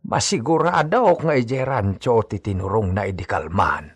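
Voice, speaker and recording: A man playing a worried, respectful older ranch servant with a husky voice in a radio drama. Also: fairly low pitch, animated, moderate pace, no echo.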